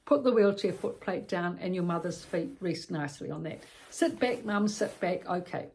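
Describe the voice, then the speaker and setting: A woman's voice: fairly low pitch, animated, normal speed, a little mellow, slight background noise, rough recording. A woman with a New Zealand accent gives practical advice as informal storytelling, in a conversational, rambling way with repetitions and self-corrections. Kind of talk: informal instructional storytelling addressed to the listener.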